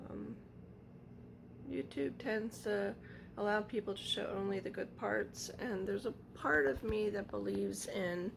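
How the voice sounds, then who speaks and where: A woman vlogger losing her voice, talking slowly and reflectively with many pauses. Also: medium pitch, creaky, a little hiss or hum. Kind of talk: casual vlog chat.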